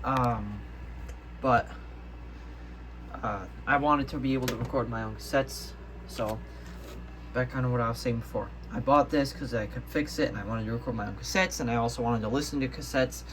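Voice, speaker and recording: Young male hobbyist, casual rambling vlog delivery, mid-range pitch, some background noise. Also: normal speed. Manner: rambling, easily sidetracked. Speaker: hobbyist video maker. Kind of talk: casual unscripted show-and-tell. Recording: noticeable background noise, amateur home video.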